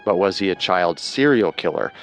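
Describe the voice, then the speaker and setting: Low-pitched man narrating true crime. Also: lively intonation, moderate pace, soft background music. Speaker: co-host narrator.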